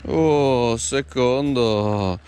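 A man talking to his viewers while fishing outdoors by a pond, breaking into excited exclamations, recorded on a head-mounted camera. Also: mid-range voice, drawn-out words, slight background noise. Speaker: fishing vlogger. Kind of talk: casual commentary while fishing.

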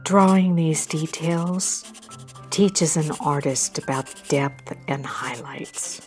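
A woman artist calmly reading a scripted documentary narration about her drawing, in a measured, reflective way. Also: deep voice. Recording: voice-over with loud background music.

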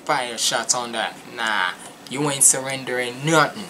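A young man from Jamaica giving casual, animated and slangy commentary as he reacts to music at home. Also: mid-range voice, measured pace, background noise. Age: young adult. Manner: animated, slangy, emphatic, repetitive. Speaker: reaction video host. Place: small furnished room at home.

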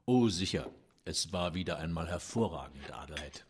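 Very husky, courteous gentleman. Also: low voice, animated, normal speed, exaggerated voice. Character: courteous Viennese lawyer, master of the house. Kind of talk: German audio drama acting.

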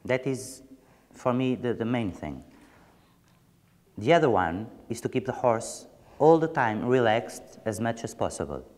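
Calm man with on training. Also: mid-range voice, unhurried, long reverb tail. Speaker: horse trainer.

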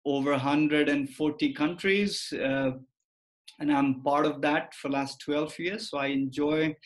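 A man in his forties with a Nepali accent, explaining things as an interview guest in measured, deliberate phrases on a video call. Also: on the high side, low-quality recording. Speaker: guest, tour company director and lecturer. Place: office room, video call.

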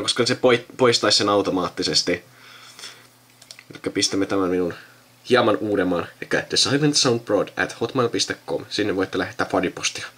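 A man's voice: on the low side, a bit bright, slightly rough. A young man in casual chat, thinking aloud in a rambling way and often trailing off. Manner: rambling, trailing off mid-sentence. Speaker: video host recording himself with a friend. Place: indoors at a computer.

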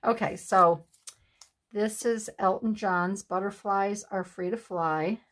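A woman in her sixties chatting at home while she makes a collage at her craft table, with a breathy, sniffly voice, laughing asides and remarks to herself. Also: medium pitch, slow, a bit bright. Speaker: craft channel host. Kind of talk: casual chat while making a collage. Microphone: overhead camera on the desk, hands only in frame.

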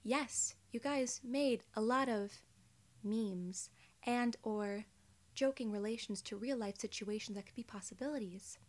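A soft-spoken woman in her twenties doing an ASMR role-play as a polite alien gatekeeper, with measured, formal phrasing, close to the microphone. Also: a little high. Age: 20s. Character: young, polite, friendly female alien gatekeeper. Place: small indoor room.

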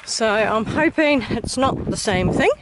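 Middle-aged New Zealand woman, outdoor hiking vlogger, high and unhurried, noisy handheld camera sound. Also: animated. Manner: chatty, enthusiastic, many "um"s. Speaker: hiker vlogger. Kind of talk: casual walking vlog commentary. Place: outdoors on a desert mountain trail. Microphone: camera held at arm's length.

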